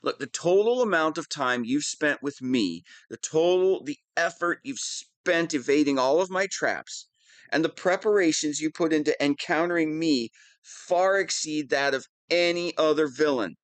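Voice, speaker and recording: A man's voice: on the high side, normal speed, dry recording, lightly acted. A man playing a whiny, pompous wannabe supervillain who is easily flustered, in a scripted comedic audio roleplay. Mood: defensive, insistent.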